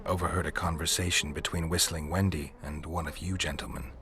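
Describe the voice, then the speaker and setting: A gruff fantasy monster hunter with a low-pitched voice. He speaks tersely and dryly, unflappable and deadpan, as in a video game. Faint buzzing ambience sits behind him. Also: brisk, slight background noise. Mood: dry, unbothered.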